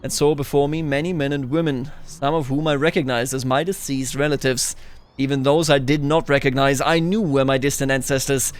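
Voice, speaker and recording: A man's voice: medium pitch, steady tone, brisk, clear, resonant tone. A man hosting a gaming video, musing aloud expressively as he mixes casual commentary with reading story text.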